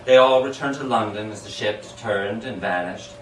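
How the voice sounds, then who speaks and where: A man giving an expressive, dramatic recital of storytelling verse in a sing-song rhyming rhythm. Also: fairly low pitch, normal speed. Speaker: amateur poet reading their own poem. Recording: echoey room, distant mic, background hiss.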